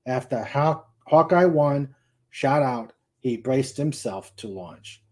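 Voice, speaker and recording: A man's voice: mid-range voice, lively intonation, takes it slowly. A man narrating a novel aloud as a dramatic reading, careful, with occasional stumbles over words. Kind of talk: dramatic audiobook-style narration.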